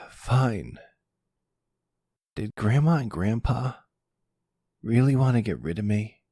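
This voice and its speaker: Man acting a halting, boyish, sensitive young son. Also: lively intonation, warm tone, husky, no echo, clean studio sound, heavily acted. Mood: hurt, defensive. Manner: halting, stammering, higher and more boyish. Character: sensitive, defensive young college-age son, playful with his mother. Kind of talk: ASMR audio roleplay acting.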